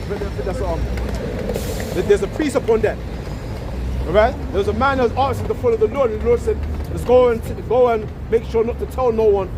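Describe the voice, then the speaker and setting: A man with a high-pitched voice preaches out on a busy street, repeating phrases and checking "you see what I'm saying". Loud passing traffic sits under him throughout the recording. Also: a little fast.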